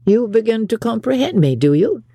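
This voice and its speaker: An audiobook narrator voicing an eager, impulsive, courteous young gentleman from a period novel in an emphatic, earnest way. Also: medium pitch, sing-song, moderate pace, husky, no room sound, studio quality. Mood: amused.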